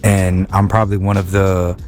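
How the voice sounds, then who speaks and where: A young man from Wilmington, California, a rapper chatting as a podcast guest, talking in halting bursts full of repeated words and restarts. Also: sits low, monotone, darkish tone, soft background music. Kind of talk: casual interview chat.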